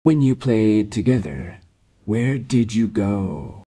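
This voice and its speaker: An actor playing a patient, probing police detective in an audio drama, gently insistent in manner. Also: male voice, fairly low pitch, animated, husky, no echo, full character voice. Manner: measured, gently insistent questioning.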